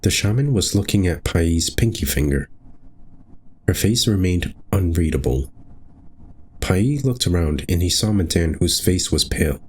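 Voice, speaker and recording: A man's voice: deep voice, lively intonation, a little slow, husky. A man hosting a gaming video, reading visual novel narration aloud and adding casual commentary.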